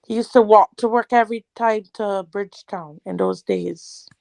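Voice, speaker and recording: A woman's voice: mid-range voice, steady tone, normal speed, gravelly, a little mellow, no room sound, low-quality recording. A middle-aged Barbadian woman, a guest in a casual interview, talking hesitantly with many fillers and restarts.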